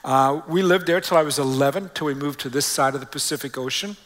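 Male pastor, slightly high-pitched, conversational preaching. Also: fast, a bit bright. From Australia. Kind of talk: sermon to a congregation. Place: church, preaching before a congregation.

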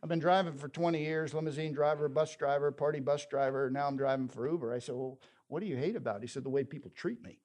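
A man's voice: a little high, fairly even intonation, quick pace, few pauses, darkish tone. A man preaching a sermon, telling a story and quoting scripture with emphasis. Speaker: pastor.

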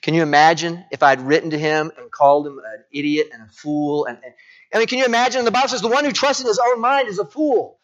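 A male pastor preaching in an easy, storytelling way, his voice sitting a little high for a man. He keeps asking his listeners rhetorical questions. The recording sounds dull and lo-fi. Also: quick pace. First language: English. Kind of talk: sermon.

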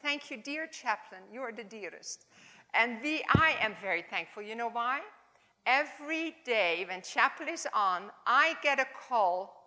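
Woman, 70s, Dutch accent, non-native English, halting chapel talk, higher voice, long hall reverb. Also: animated, sharp tone. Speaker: chapel speaker.

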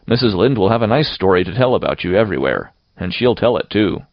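Guy as a strict older-ish lady guardian, middle aged, dry n scolding, storybook style, bit creaky. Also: lowish voice, sing-song, quick pace, no echo, muffled recording.

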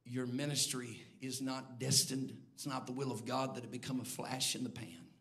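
A man preaching a sermon in a church, swinging between low, hushed lines and loud, near-sung calls to the congregation. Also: moderate pace. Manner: builds from quiet, low delivery to loud, near-sung shouting. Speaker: bishop preaching. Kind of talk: Pentecostal sermon. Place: church sanctuary before a congregation.

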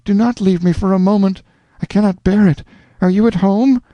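A man's voice: high-pitched, steady tone, normal speed, no room sound, muffled recording, pitched above the performer's own voice, close to an everyday voice. A man reading a lovesick young woman in an audiobook, pleading and gushing in a raised voice.

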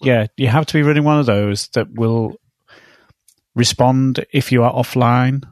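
A man's voice: mid-range voice, steady tone, a little slow, dry recording, clean studio sound. A man with a cold who is losing his voice, co-hosting a podcast in a tentative, casual way, often ending on tag questions. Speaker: podcast co-host. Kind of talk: casual tech chat.

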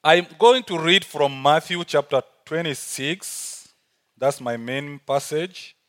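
Earnest South Sudanese preacher, fairly high voice. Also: male voice, takes it slowly, fairly bright tone. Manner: earnest, repeats key phrases for emphasis. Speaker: guest preacher. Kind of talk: sermon.

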